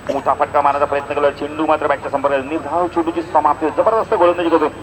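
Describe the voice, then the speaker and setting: Higher-voiced Maharashtrian man calling cricket, crowd behind. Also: fairly even intonation, rapid-fire, poor audio. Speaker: cricket commentator and announcer. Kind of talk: live cricket commentary. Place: outdoor cricket ground.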